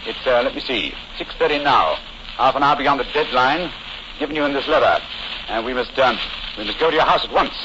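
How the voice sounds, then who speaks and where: An actor playing a shrewd, amused gentleman detective with an English accent, urbane and clipped in a 1940s radio drama. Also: medium pitch, lively intonation, fairly quick, lightly acted. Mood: brisk, intent. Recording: noisy, dull old 1940s radio recording.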